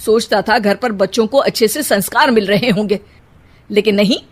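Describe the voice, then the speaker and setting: A woman's voice: mid-range voice, normal speed, close to an everyday voice. A woman voicing a middle-aged father who speaks bitterly and scolds.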